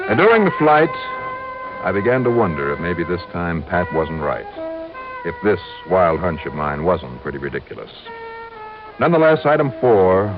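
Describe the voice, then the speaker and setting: An American man playing a wry, dogged insurance investigator in a 1950s radio drama, narrating in clipped, punchy phrases. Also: deep voice. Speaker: lead actor and narrator. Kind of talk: 1950s radio detective drama acting, first-person narration. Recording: muffled vintage radio recording with music.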